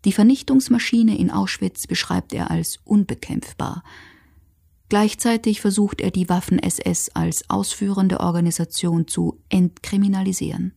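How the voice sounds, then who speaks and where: Dark, creaky-voiced woman narrating soberly. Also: medium pitch, fairly even intonation. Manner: sober, factual, measured. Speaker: documentary narrator. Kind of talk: scripted narration of a historical radio feature.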